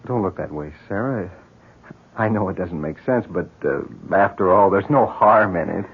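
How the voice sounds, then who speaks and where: An actor playing a concerned, reasonable family friend in a 1970s radio drama, measured and sympathetic. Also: male voice, low-pitched, husky. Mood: reassuring. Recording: muffled old radio broadcast.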